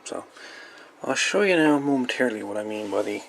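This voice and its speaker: A man giving a casual hands-on repair walkthrough in a halting, folksy way with colloquial grammar. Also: on the low side, lively intonation, vocal fry, slight background noise, low-quality recording. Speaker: repair video host. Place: indoor electronics workbench.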